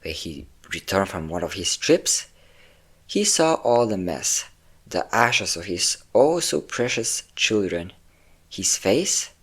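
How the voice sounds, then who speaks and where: A man's voice: low voice, very animated, takes it slowly, a bit bright, breathy edge, exaggerated voice. A man playing a possessive, obsessive vampire lover, vain and cruel, in an intimate, theatrical roleplay monologue with lingering words and sighs. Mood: coldly vindictive.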